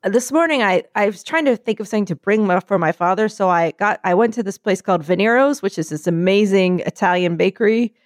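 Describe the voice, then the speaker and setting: A woman chatting casually, telling a story and acting out quotes in a higher voice. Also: a little fast, hardly any pauses, dry recording, studio quality. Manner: animated, acts out quotes in higher voice.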